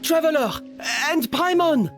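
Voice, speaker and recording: An actor voicing an earnest, reassuring male restaurant worker in a video game, explaining things in a measured way. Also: very high-pitched, animated, faint music behind. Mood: surprised.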